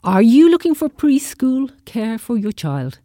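A woman's voice: a little high, very animated, measured pace, warm tone, soft background music. A woman presenting scripted local event announcements on community radio.

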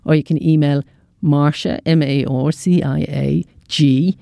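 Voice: mid-range voice, fairly even intonation, measured pace, gravelly, dark tone, no echo, studio quality. A community radio presenter reading a scripted events listing.

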